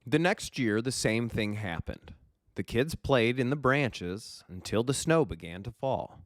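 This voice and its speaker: A man's voice: medium pitch, expressive, measured pace, dry recording. A man narrating a children's storybook as a reader for kids.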